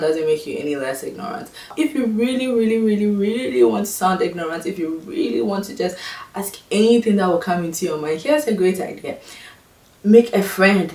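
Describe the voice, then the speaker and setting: A young woman from Ghana with a Ghanaian accent, talking to camera in a casual, exasperated and sarcastic vlog. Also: a little mellow. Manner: exasperated, sarcastic, mimics others in high voices. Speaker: vlogger. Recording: echoey room, mic at a distance.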